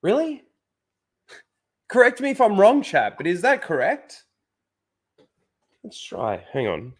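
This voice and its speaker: A man hosts a casual livestream while fighting a head cold, his nose blocked. His voice sits a little high, and he rambles with constant "like"s and false starts, talking into a desk mic. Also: wildly swooping pitch, takes it slowly. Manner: rambling, full of "like" and restarts. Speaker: livestream host.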